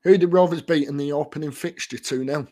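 A man's voice: on the high side, fast, warm tone. A man co-hosting a casual podcast, giving brief quiz answers and asking questions. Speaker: podcast co-host.

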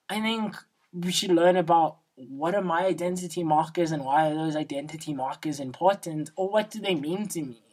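A young man with a feminine-sounding voice hosts a solo podcast, talking casually and reflectively in slangy speech peppered with "like" and "right?". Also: a little mellow. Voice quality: feminine-sounding, not a deep baritone. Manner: informal, slangy, seeks agreement with frequent "right?" and "like". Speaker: podcast host.

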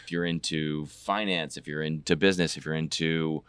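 Deep smooth-voiced host. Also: male voice, fairly even intonation, a bit bright, no echo, clean studio sound. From Virginia. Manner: affirming, measured, explains points in lists. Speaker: podcast host. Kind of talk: casual interview chat.

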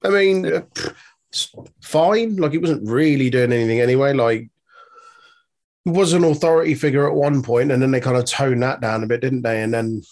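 A man's voice: medium pitch, a little fast, darkish tone. Laid-back man swearing casually. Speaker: podcast co-host. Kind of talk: casual chat, reading news.

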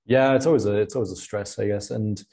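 Rambling young man, lowish voice. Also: steady tone, fast, dark tone. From Spain. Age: 20s. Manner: rambling, laughs often, many fillers and restarts. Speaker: podcast guest, product designer. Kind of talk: casual interview chat.

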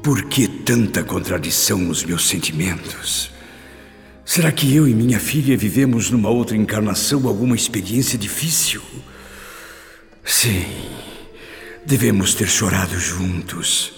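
A man's voice: fairly low pitch, lively intonation, gravelly. An actor playing an anguished, repentant middle-aged father with a Brazilian accent, in a solemn, pleading radio drama monologue. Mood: troubled, remorseful. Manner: slow, solemn, pleading delivery. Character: anguished repentant father, guilt-ridden former brute. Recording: long reverb with music behind. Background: music.

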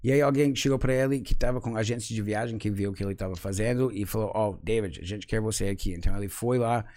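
A man's voice: on the low side, fast. American man chatting in Portuguese. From United States. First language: English. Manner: relaxed, frequent "sabe?" tags, laughs often. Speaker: guest. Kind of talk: casual conversation.

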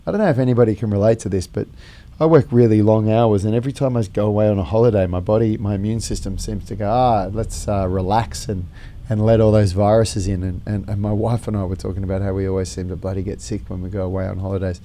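Hoarse man hosting a fitness podcast. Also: fairly low pitch, fairly even intonation, fast, warm tone, slight background noise. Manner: rambling with many fillers and restarts. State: lost his voice, speaking quietly. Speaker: gym co-founder and podcast host. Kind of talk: casual podcast talk.

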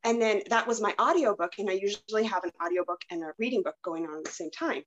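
A woman's voice: medium pitch, quick pace. A woman hosting a podcast in a casual, chatty, anecdotal way. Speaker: podcast host. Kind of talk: casual chat. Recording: slightly dull, lo-fi microphone.

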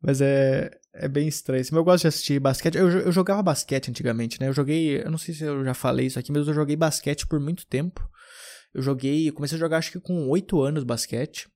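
A young man from southern Brazil chats on his podcast, drifting from one anecdote to the next. His voice sits fairly high for a man, and he keeps restarting his sentences in an easygoing, rambling way. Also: fast talker, a little mellow. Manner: rambling, loose anecdotes with many restarts and filler. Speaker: podcast host. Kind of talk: casual storytelling chat.

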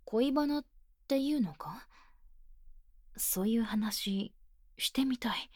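A woman playing an earnest, shy, tomboyish senior high school girl in an ASMR voice drama, speaking plainly and hesitantly, often near a whisper, close on a binaural mic. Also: a little mellow, no room sound. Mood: shyly curious. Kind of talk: Japanese ASMR situation voice drama. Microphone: close binaural microphone, dry studio.

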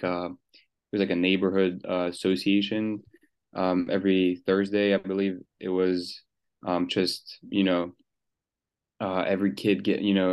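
A man's voice: sits low, a little mellow, clean tone, muffled recording. A young man from Switzerland, a new pro soccer player, answering interview questions casually with many fillers and restarts. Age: young adult.

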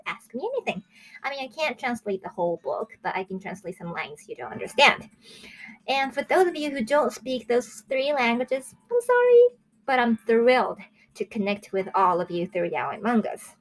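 A woman in her early twenties from Japan, hosting a casual solo review show in a chatty, excitable way. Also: a little high, rough recording. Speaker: host of a manga review show.

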